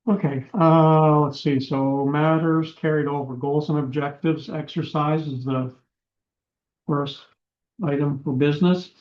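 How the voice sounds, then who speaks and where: A man runs a town commission meeting, his voice slightly higher than most and going hoarse. He speaks haltingly and fills his pauses with "uh" and "um". The room is echoey, and the recording sounds dull and lo-fi. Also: fairly even intonation. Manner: halting, many fillers. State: losing his voice. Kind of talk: meeting proceedings.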